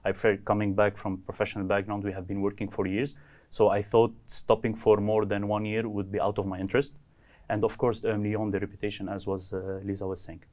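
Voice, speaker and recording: A man from Lebanon, an engineer answering interview questions in a measured way, often restarting phrases. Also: fairly low pitch, little pitch movement, darkish tone. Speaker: MBA graduate, engineer.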